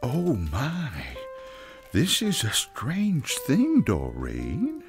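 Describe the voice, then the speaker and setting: Man playing a slow, wildly swooping Santa. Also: medium pitch, huge pitch swings, faint music behind, exaggerated voice. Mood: bewildered. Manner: halting, dramatic. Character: earnest, emotional Santa, bewildered new father.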